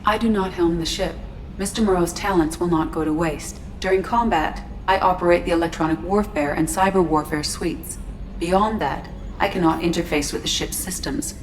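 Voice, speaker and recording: An actress voicing a calm starship artificial intelligence who speaks in a measured, formal way. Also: female voice, on the low side, a little hiss or hum, lightly acted. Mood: even. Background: game music score.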